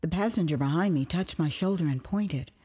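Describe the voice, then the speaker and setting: A woman from the United States narrating her own memoir as an audio drama, with expressive, theatrical delivery, acted asides and dramatic pauses. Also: fairly low pitch, slightly rough. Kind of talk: dramatized first-person storytelling.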